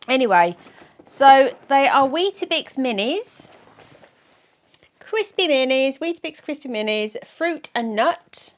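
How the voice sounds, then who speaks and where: A middle-aged woman with a croaky, sore-throated voice, chatting casually to camera in a rambling, giggly, self-mocking way. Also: high voice, lively intonation, takes it slowly. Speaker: weight-loss vlogger.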